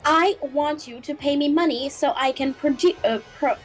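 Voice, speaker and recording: A woman voicing a dry, sceptical, needling young princess in an improvised comedy fan dub, speaking haltingly. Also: high-pitched, natural voice. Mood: businesslike. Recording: music behind the voice.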